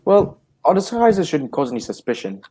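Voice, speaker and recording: An actor playing a detective's loyal, polite gentleman companion, mildly questioning, in an old-style radio drama. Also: fairly high pitch, big pitch swings, normal speed, a little hiss or hum. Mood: agreeable. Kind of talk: 1940s radio drama acting.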